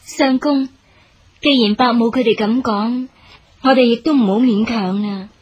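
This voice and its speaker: A woman acting a gentle, dutiful commander's wife in a period radio drama, speaking considerately in Hong Kong Cantonese. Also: on the high side, a little slow, slightly rough, lo-fi sound. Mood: gentle, supportive.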